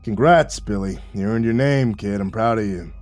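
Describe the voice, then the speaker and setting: A man voicing a huge, gruff, fatherly gangster with a rumbling voice in an audiobook. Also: normal speed, darkish tone, dry recording, lightly acted. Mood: proud. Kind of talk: audiobook character voice. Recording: music behind the voice.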